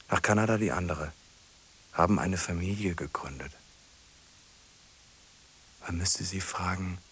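An actor playing a solitary middle-aged man in a radio drama, ruminating in short questioning fragments with a husky, breathy voice. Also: sits low, a bit bright, slight background noise. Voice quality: husky, breathy, often sinking to a whisper. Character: solitary man fixated on a lonely woman, musing.

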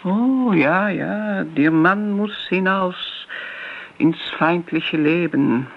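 A woman's voice: on the low side, animated, drawn-out words, creaky, exaggerated voice. Older woman, effusive, flustered, stammering, eager to please, radio drama, muffled hissy recording. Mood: chatty, eager. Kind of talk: radio play acting. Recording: dull, noisy old radio play recording.

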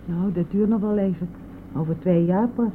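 A woman's voice: on the low side, moderate pace, close to an everyday voice. An actress playing a modest, concerned middle-aged mother-in-law in a radio play, speaking in a hesitant, earnest, plain way. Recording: noisy, phone-like old radio recording.

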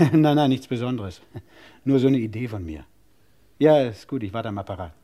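An actor playing a self-assured, jovial and ironic police inspector in a crime radio play. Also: male voice, medium pitch, animated, normal speed, mellow, highs cut off, natural voice. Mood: jovial, wry.

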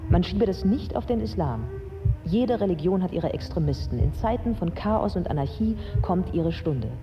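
An actress playing a candid, resolute young Iraqi woman, narrating a radio drama in a measured, articulate, earnest way. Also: on the low side, animated, lo-fi sound, over music, natural voice.

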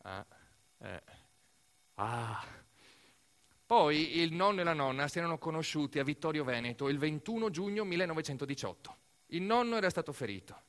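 Higher-voiced man telling a theatrical story. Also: sing-song, normal speed, long pauses, fairly bright tone. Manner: dramatic, short phrases, as a boy recalling family. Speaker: actor-narrator. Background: music.

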